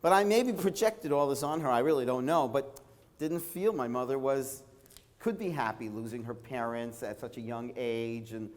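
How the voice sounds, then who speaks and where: A middle-aged male rabbi with a Brooklyn accent delivers an inspirational religious talk. His voice sits at a medium pitch and carries the long reverb of a large hall. He speaks conversationally, telling personal stories and checking in with his listeners. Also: normal speed. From Toronto, Canada. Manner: conversational, anecdotal, checks in with "right?".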